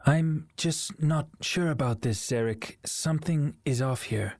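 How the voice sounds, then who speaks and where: A man's voice: on the high side, measured pace, a little mellow, no echo, studio quality. An actor playing a king's worried, sensible twin brother in a game cutscene, speaking in an earnest, cautious manner. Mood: uneasy, concerned. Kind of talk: dramatic video game cutscene acting.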